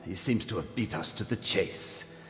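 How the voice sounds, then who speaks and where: A man acting as a sinister, genteel ringleader in a period mystery. His low voice is theatrical and cool, ringing with long reverb beneath loud music. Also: normal speed, lightly acted. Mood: coolly disappointed. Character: suave, sinister male villain leading a secret circle. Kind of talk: period detective drama acting.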